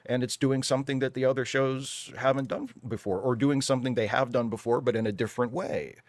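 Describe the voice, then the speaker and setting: A man's voice: medium pitch, fairly even intonation, brisk, no echo. A man giving opinions in a casual panel discussion, emphatic and often rephrasing as he argues. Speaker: panel guest on a live fan show.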